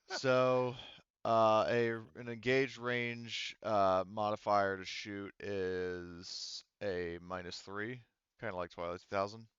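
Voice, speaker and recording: A man acting as game master of a tabletop roleplaying game, explaining rules haltingly as he thinks aloud. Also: on the low side, little pitch movement, fairly bright tone, smooth, no room sound, muffled recording.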